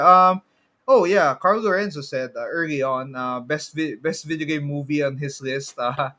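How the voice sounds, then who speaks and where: A man's voice: mid-range voice, bright, forceful tone. A man with a Filipino accent hosting a casual podcast, mixing Tagalog particles into his English. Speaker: podcast host.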